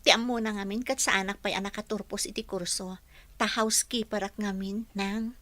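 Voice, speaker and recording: A woman's voice: mid-range voice, fairly even intonation, brisk, a bit bright, no echo, lightly acted. A woman acting a dutiful, self-assured young housekeeper in an Ilocano radio drama. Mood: earnest. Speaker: voice actress in a radio play.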